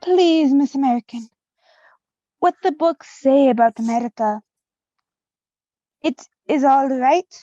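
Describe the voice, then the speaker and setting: A woman's voice: high-pitched, measured pace, pauses often, mellow, soft background music. A woman playing a pleading, eager young refugee child with simple, urgent delivery in a 1930s radio play. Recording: dull lo-fi old radio recording.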